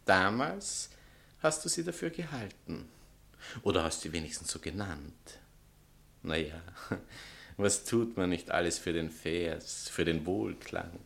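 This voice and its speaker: A man's voice: deep voice, animated, measured pace, vocal fry, a bit bright, heavily acted. An actor playing a vain, scornful male writer and spurned lover in a satirical stage comedy, pompous and declamatory. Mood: sneering, scornful. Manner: pompous, theatrical, declamatory, swinging from outbursts to sneers. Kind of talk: theatrical satirical comedy acting.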